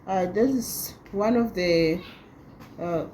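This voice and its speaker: A woman's voice: mid-range voice, mellow, a little hiss or hum. A thirty-year-old woman vlogging at home, talking casually with many restarts. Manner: halting, with many restarts and laughs. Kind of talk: casual talk to camera.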